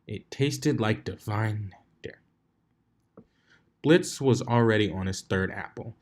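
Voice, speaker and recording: Male fan fiction narrator, somewhat low voice, reading scripted story prose. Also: takes it slowly, a little mellow.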